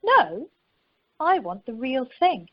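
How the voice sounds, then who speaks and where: A woman's voice: high-pitched, very animated, slow pace, no room sound, full character voice. A woman playing a mischievous, impulsive, art-mad young bride in an amateur radio play over the telephone. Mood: scheming. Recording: muffled telephone conference line.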